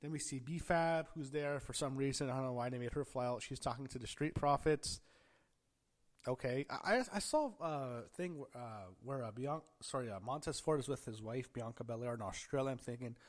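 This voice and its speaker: A man who is feeling under the weather and whose voice is a little off. He recaps a show in a casual, rambling way, with fillers, self-corrections, gasps and some throat clearing. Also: mid-range voice, normal speed. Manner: gasping and clearing throat at times. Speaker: wrestling show reviewer.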